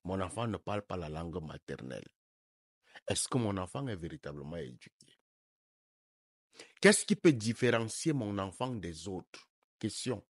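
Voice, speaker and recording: A man's voice: deep voice, sing-song, moderate pace, long pauses, no echo, clean studio sound. A man from Africa, a life coach giving an emphatic opinion monologue full of rhetorical questions.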